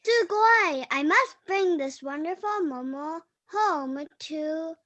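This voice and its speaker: A female amateur playing an eager old peasant wife from a folktale, full of wonder. The voice is very high and bright, delivered slowly and carefully, with small stumbles as in a read-aloud play. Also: expressive, no echo, heavily acted. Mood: amazed, eager. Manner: halting, careful reading with stumbles over Japanese words. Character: poor old woodcutter's wife, amazed and eager. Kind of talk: amateur dramatic reading of a folktale.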